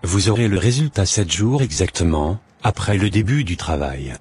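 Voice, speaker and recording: Man playing deep-voiced, businesslike cartoon fortune-teller. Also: animated, normal speed, a little mellow, slightly rough, heavily acted. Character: businesslike male fortune-teller selling love spells. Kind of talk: scripted cartoon dialogue.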